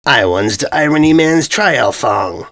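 A man's voice: mid-range voice, expressive, measured pace, a bit bright. A man voicing a boastful, dim, petulant young invader who speaks self-importantly in garbled broken grammar. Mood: proud.

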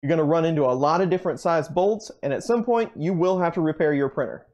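A young man hosting a channel, briskly running through a list of tools in a scripted, upbeat way with dry jokes, recorded in a basement workshop. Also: a little high, darkish tone, muffled recording. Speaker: channel host. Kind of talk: scripted tool rundown.

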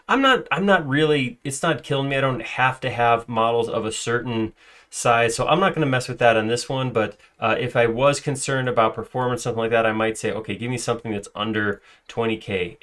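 A man giving a casual screen-recorded software tutorial, talking loosely with fillers and restarts. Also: medium pitch. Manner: conversational, filler-heavy, self-correcting. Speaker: software trainer.

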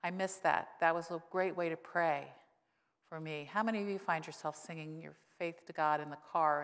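Woman pastor preaching in a reverberant church. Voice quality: voice grown lower since illness, she says. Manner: conversational preaching with wry humor. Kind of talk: sermon. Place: church sanctuary at a pulpit. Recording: long reverb of a church sanctuary.

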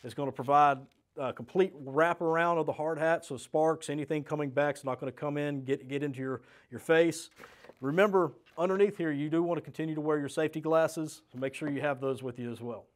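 Higher-pitched American man giving a demo. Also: fairly even intonation, fairly quick. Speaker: sales representative presenting a product demonstration. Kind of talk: step-by-step instructional product demo. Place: small indoor studio set with desk and shelves.

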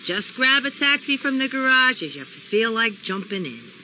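A woman's voice: on the high side, big pitch swings, normal speed, sharp tone, slight background noise, full character voice, poor audio. Brash, cynical older cab boss lady. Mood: sardonic. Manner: sardonic, blunt, chatty. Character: brash, cynical older female taxi firm dispatcher. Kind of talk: video game cutscene acting.

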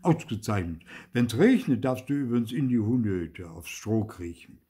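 A stern, taunting farmer from a children's storybook, played by a man. The voice is husky and mellow with big swings in pitch, gruff yet full of mocking politeness. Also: lively intonation, moderate pace. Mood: mock-polite ordering. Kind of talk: dramatised children's storybook reading.

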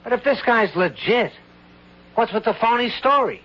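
An actor in a 1970s radio drama playing a blunt American office boss with slangy, skeptical speech. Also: male voice, very high-pitched, lively intonation, moderate pace. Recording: dull 1970s radio broadcast.

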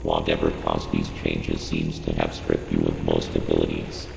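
A video game's artificial intelligence with a rock-bottom voice and a dead-flat delivery. He gives clipped, formal, analytical advice with complete calm, ringing in a long reverb. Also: extremely low pitch, moderate pace, creaky, noisy background, exaggerated voice. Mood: calm, detached, advisory. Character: calm male ship computer AI advising a bounty hunter. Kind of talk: scripted video game briefing. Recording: echoey long reverb under music.

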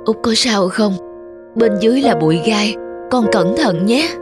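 A woman voicing a gentle, patient mother chameleon in a children's cartoon, over music. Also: fairly high pitch, lively intonation, normal speed, natural voice. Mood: weak, tender.

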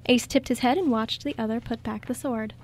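High-voiced woman narrating a radio story. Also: fairly even intonation, brisk.

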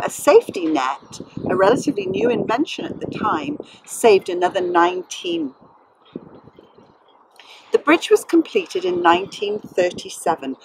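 A middle-aged woman with a slightly husky voice, presenting in a deliberate, explanatory way to children as a school librarian. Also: medium pitch, background noise. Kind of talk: educational on-location narration for children.

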